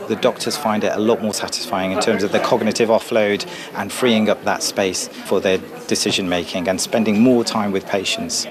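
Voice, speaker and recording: A man who runs an emergency department explains things in a measured way. His voice sits fairly low. A busy crowd is clearly heard around him outdoors. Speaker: emergency doctor. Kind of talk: news interview clip.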